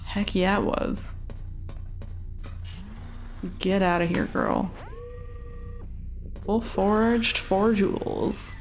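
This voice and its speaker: A woman's voice: medium pitch, steady tone, extremely slow, pauses often, soft background music. A woman giving chatty, casual gameplay commentary, muttering reactions to herself as she plays. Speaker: let's play host.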